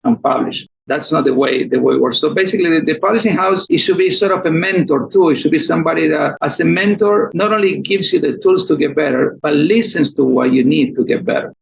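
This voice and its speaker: A man's voice: fairly high pitch, gravelly. Argentine accent guy, 50s, spanish speaker, muffled dull recording with a bit of hiss. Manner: reflective, explains at length in a measured way. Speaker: novelist guest. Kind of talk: conversational interview.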